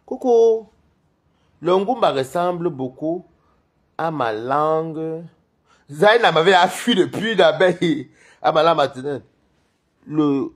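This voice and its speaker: A man from Cameroon with a Cameroonian French accent, chatting on a live stream in a rambling, gossipy way. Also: a little high. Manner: rambling, animated, gossipy, laughs often. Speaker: livestream host. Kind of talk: live-stream chat with viewers.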